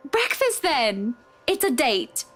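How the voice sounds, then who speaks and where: A woman's voice: sits high, sing-song, slow pace, a bit bright, full character voice. A woman playing a wealthy, breezy, imperious socialite wife in a visual novel game, with a polished, airy delivery. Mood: brisk, teasing. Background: background music.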